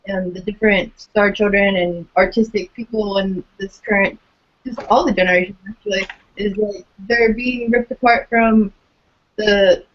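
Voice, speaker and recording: A woman interviews a guest as a podcast host, speaking casually at a medium pitch. The recording sounds dull and lo-fi.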